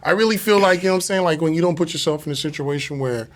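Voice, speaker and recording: A man's voice: on the high side, very fast. A man in his forties from Los Angeles speaking as an interview guest in a casual, slangy, motivational way, often adding check-in tags. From Los Angeles, USA. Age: 40s. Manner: slangy, peppers talk with check-in phrases like tags.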